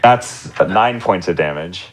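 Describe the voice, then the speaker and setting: A man's voice: deep voice, expressive, slow pace, noisy background. A man speaking casually as a player at a tabletop game, announcing his attacks and numbers.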